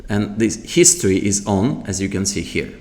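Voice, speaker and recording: A man giving an unscripted product tutorial in a halting, explanatory way. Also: lowish voice, expressive, darkish tone. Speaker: product demonstrator.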